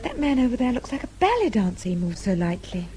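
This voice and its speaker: A woman's voice: on the high side, wildly swooping pitch, quick pace, mellow. An actress playing a poised, curious young English woman in a radio drama, with a wry, understated manner. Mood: playful. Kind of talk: British radio drama acting.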